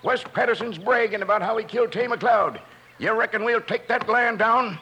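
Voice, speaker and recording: High-voiced, combative Western clan leader. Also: male voice, moderate pace, pushed and bright. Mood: defiant, threatening. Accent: American Western drawl. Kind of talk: old-time radio Western acting. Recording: noisy old radio recording.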